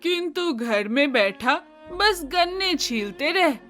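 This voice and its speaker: Woman voicing a loud, high-pitched bossy village mother. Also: expressive, takes it slowly, soft background music, heavily acted. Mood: nagging. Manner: emphatic, scolding, matter-of-fact village talk. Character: bossy, proud older village mother and mother-in-law. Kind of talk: animated moral-story voice acting.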